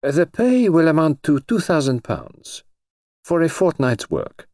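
A man putting on a crisp, formal foreign gentleman. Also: a little high, huge pitch swings, a little slow, no room sound, studio quality, pitched above the performer's own voice, heavily acted. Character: brisk mysterious foreign gentleman, middle-aged man.

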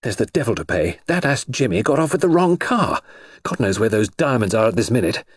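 A man's voice: high-pitched, huge pitch swings, a little fast, a little mellow, slightly rough, no room sound, clean studio sound, voice pushed up, full character voice. A man voicing an agitated, blustering upper-class young man in an audiobook. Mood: frantic. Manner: exclamatory, blustering. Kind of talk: audiobook character voice.

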